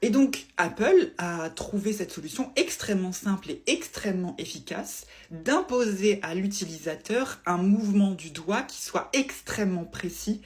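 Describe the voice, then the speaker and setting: A woman with a mid-pitched voice presents a casual explainer straight to her viewers. She is didactic and emphatic, circling back to rephrase and repeat each point as she goes. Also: darkish tone. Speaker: presenter explaining phone interface design.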